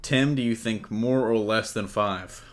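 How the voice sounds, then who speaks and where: A man's voice: fairly low pitch, unhurried. A man hosting a casual entertainment news discussion in a conversational way, putting questions to his co-hosts. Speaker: podcast host.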